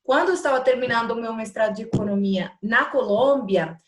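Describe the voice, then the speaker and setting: A woman in her thirties from Colombia who speaks with a fairly high voice. She talks in a measured, hesitant way as an interview guest, and her voice echoes around the room. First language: Spanish. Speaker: guest, trading company executive. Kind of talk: interview answers.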